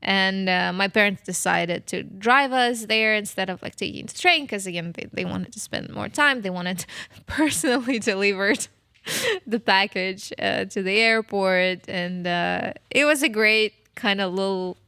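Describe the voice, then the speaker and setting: Clear-voiced Ukrainian woman telling her story. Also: mid-range voice, animated, measured pace, pushed and bright, no room sound, studio quality. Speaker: podcast host telling own story. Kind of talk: casual conversational storytelling.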